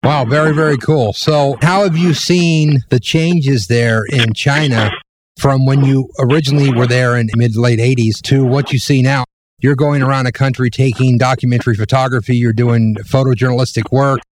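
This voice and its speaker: A man's voice: mid-range voice, fairly even intonation, normal speed, poor audio. A man hosting an interview in a casual, conversational way, with informal, rambling questions. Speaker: interviewer, host.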